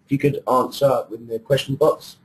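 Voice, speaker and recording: A man introducing a webinar and its sponsor, talking briskly with a few fillers and restarts. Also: medium pitch, fairly even intonation, low-quality recording. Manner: brisk, slightly hesitant with fillers. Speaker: webinar host and recruiter.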